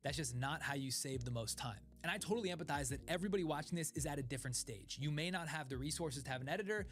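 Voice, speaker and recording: Fast-talking young man explaining workflow. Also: fairly high pitch. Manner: fast, energetic, gestures with hands. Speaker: content creator. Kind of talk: scripted talking-head explainer. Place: dim home studio. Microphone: studio mic in front.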